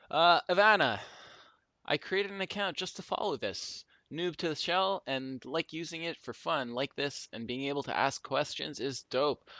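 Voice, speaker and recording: A man's voice: a little high, a bit bright, no echo. A man hosting a live coding stream, chatting casually and answering viewer comments in a friendly, rambling way. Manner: chatty, friendly, with fillers and self-repeats.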